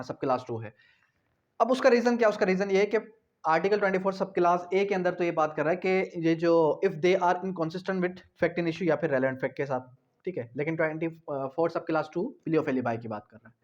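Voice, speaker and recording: A man with a Pakistani accent lecturing as a law teacher, didactic, repeating key phrases, asking check questions and slowing down for English terms. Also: fairly high pitch. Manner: switches between Urdu and slowly read English legal phrases. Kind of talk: classroom lecture for exam preparation. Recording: dull, lo-fi lecture recording.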